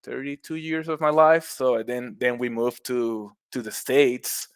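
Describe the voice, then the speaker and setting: A man's voice: mid-range voice, steady tone, a little slow, clean tone, no room sound, studio quality. A middle-aged man from Puerto Rico with a Puerto Rican accent, a guest on a casual podcast who talks in a friendly way, laughing often and restarting his words. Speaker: guest, mushroom business owner.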